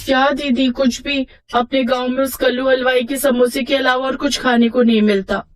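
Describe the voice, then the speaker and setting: A woman's voice: sits high, little pitch movement, moderate pace, a little hiss or hum, lightly acted, rough recording. A woman voicing an eager, cheeky young girl who pleads and teases in a children's moral story. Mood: pleading. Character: eager, teasing young girl craving treats.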